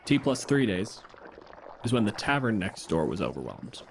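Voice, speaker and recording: A man's voice: on the low side, big pitch swings, moderate pace, vocal fry. A man narrating a fantasy tabletop game as improvised dramatic storytelling, in clipped, suspenseful phrases. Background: music with guitar.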